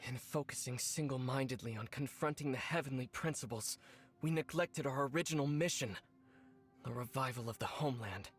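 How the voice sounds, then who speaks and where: A man voicing a resolute young royal leader of a dark order in a video game, formal, solemn and commanding. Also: mid-range voice, fairly quick, close to an everyday voice. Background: game music.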